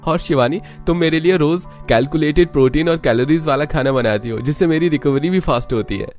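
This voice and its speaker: A man playing an eager, high-pitched young husband. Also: brisk, a little mellow, soft background music, lightly acted. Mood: pleased, eager. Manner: eager, affectionate. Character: cheerful, doting young husband. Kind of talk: Hindi cartoon story dialogue.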